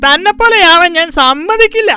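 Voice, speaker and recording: Woman as cartoon shopkeeper dad, very high-pitched, sharp, proud, lecturing, music underneath. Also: animated, brisk, full character voice. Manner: boastful, admonishing, lecturing. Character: proud, scolding male shopkeeper father in cartoon. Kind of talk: cartoon dialogue. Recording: music behind the voice.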